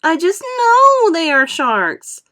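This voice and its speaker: A woman's voice: extremely high pitch, big pitch swings, takes it slowly, pushed and bright, pitched above the performer's own voice, heavily acted. A woman voicing a fretful, easily terrified male earthworm in a storybook read-aloud, with wailing, urgent delivery. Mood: alarmed, insistent.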